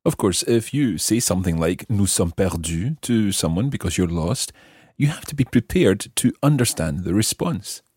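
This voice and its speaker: A man hosting a scripted language lesson, explaining clearly and repeating phrases slowly and deliberately. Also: lowish voice, expressive, darkish tone, dry recording, clean studio sound. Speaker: language teacher and host.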